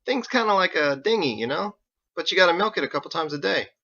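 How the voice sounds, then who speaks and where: A man's voice: on the high side, lively intonation, quick pace, bright, forceful tone, muffled recording, pitched above the performer's own voice, full character voice. A man voicing a laid-back, boastful young sailor in an audiobook, talking in casual slang. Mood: eager, casual. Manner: slangy, folksy, informal. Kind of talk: audiobook character acting.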